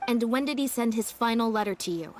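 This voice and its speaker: A woman voicing a composed female investigator who explains things in a measured way. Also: a little high, lively intonation, darkish tone, faint music behind, lightly acted. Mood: stern. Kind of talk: game voice acting.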